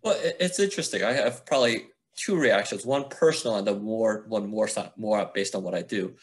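Measured man on a video call. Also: fairly low pitch. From United States. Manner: measured, articulate, gestures while speaking. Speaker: panelist, lawyer at a progressive organization. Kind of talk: panel discussion answer. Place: office at home, indoors. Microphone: video call with earphone mic.

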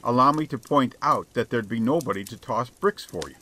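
Man playing a gentle, sly, hungry beggar. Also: medium pitch, a little fast, a little hiss or hum, natural voice. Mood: slyly questioning. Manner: gentle, humble, politely cheeky. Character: hungry male beggar, meek on the surface, slyly bluffing. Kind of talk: dramatized audiobook character.

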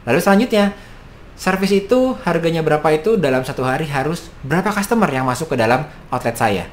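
A man in his thirties from Indonesia, a business coach explaining startup costs to camera in a small home studio, brisk and gesturing, with frequent fillers. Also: on the high side, big pitch swings, slight background noise.

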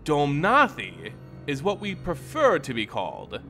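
A man's voice: a little high, huge pitch swings, measured pace, vocal fry, fairly bright tone, faint music behind, heavily acted. A man voicing a haughty female vampire lord in a fantasy story, coy, mocking and condescending.

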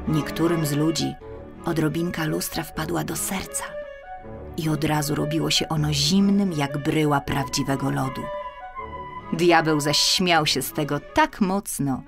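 A woman narrates a fairy tale for children in a slightly low voice. Her delivery is expressive and emphatic, lingering on key words. Faint music plays behind her. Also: gravelly. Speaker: audiobook narrator.

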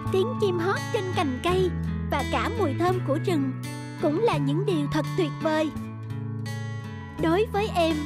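A woman voicing a sweet, earnest young schoolgirl in a cartoon, polite and softly sincere. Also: high-pitched, natural voice. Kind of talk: animated cartoon dubbing.

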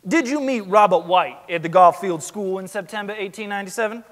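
A man's voice: sits high, fairly quick, close to an everyday voice. A young man playing a sceptical 1890s trial lawyer in a dramatic stage reading, questioning in a pointed, insistent way. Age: young adult. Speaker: student actor in a courtroom reading. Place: large theatre stage, indoors. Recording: echoey theatre hall.

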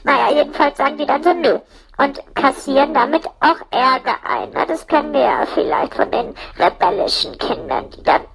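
A woman's voice: high-pitched, animated, normal speed, creaky, fairly bright tone, rough recording. A woman rambling through a casual comic monologue as a storyteller, slangy and sweary, joking and mimicking people as she goes. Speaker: audio-play storyteller in a puppet's persona.

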